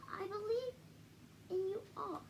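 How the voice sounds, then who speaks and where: A kindhearted male storybook elephant, played by a woman, pleads earnestly in a very high voice. The delivery is urgent and emphatic, with faint noise on the recording. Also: fairly even intonation, measured pace, darkish tone. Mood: pleading, encouraging. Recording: noisy home recording.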